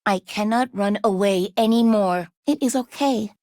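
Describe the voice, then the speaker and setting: A woman's voice: medium pitch, moderate pace, a little mellow, no echo, clean studio sound, close to an everyday voice. An actress voicing a timid, earnest, bookish young female robot in a video game, with polite, formal and precise diction. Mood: soft, subdued. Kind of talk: video game character voice lines.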